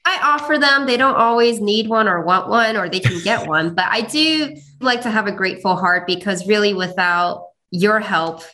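A woman's voice: medium pitch, normal speed, hardly any pauses, pushed and bright. A young woman whose first language is Cantonese, answering interview questions modestly and laughing easily. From China. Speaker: interview guest.

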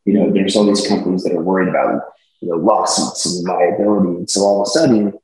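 Deep-voiced man in an echoey room. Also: fast. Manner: explanatory, businesslike, relaxed. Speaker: company executive, guest. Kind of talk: casual interview answers.

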